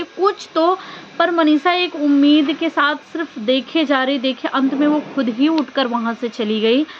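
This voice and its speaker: A woman vlogger giving casual opinion commentary in an emphatic, conversational way with rhetorical questions. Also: sits high. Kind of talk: casual opinion commentary on social media drama. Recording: dull lo-fi audio with background noise.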